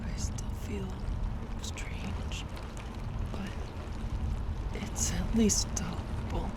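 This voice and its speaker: Casting: actress for soft-spoken, apologetic young woman resisting a hunger, audio drama, very even pitch, steady vehicle noise behind. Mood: uneasy, reluctant. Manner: hesitant, halting, soft-spoken. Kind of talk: audio roleplay drama acting.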